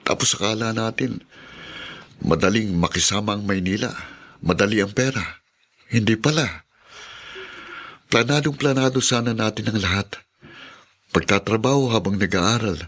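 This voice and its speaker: A man's voice: on the low side, moderate pace, long pauses, a bit bright. A man playing an earnest, questioning young working student in a radio drama. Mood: wistful. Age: young adult. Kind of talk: Filipino radio drama acting.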